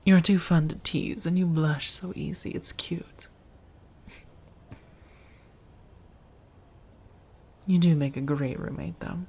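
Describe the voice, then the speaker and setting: A woman playing an affectionate, teasing vampire roommate in an intimate ASMR roleplay, speaking softly and breathily to the listener. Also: sits low, steady tone, husky. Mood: playfully teasing. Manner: gently teasing, drawn-out phrasing, soft chuckles.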